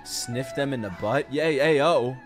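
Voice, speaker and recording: A man doing casual live-stream reaction commentary to game trailers, excitable, slangy and profane. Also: medium pitch, a little slow. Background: game trailer music.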